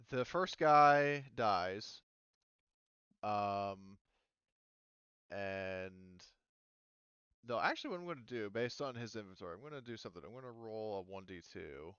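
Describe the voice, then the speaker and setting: A man running a tabletop roleplaying game as game master, talking casually and haltingly as he thinks through the rules aloud. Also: lowish voice, expressive, a bit bright, clean tone, dry recording, dull audio. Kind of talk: casual tabletop game refereeing over online session.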